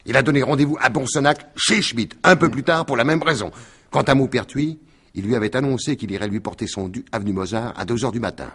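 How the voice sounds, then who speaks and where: A man's voice: medium pitch, fast, fairly bright tone, husky. An actor playing a shrewd, insistent detective in a French radio mystery in 1950s style, explaining his theory with emphatic deliberation. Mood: triumphant, accusing.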